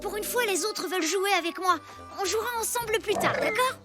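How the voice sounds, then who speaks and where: Very high boyish voice, friendly, slightly wary, young cave boy, cartoon dub, music underneath. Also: brisk. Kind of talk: animated children's cartoon dub.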